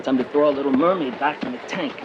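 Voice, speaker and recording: An actor playing a mocking, menacing officer who hauls away runaway patients, in an audio drama. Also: male voice, medium pitch, quick pace, highs cut off, lightly acted. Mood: mocking menace. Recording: very noisy outdoor street scene.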